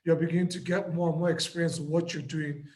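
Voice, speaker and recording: Hoarse man teaching live trading. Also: a little high, level tone, fast, darkish tone, reverberant. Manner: patient, repeats key points. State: hoarse, lost voice. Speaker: trading mentor.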